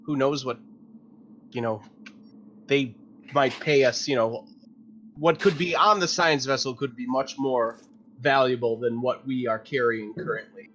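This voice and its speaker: A man's voice: medium pitch, a little slow, long pauses, a bit bright. A man playing in a tabletop roleplaying game, talking casually in a hesitant, rambling way. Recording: faint background noise.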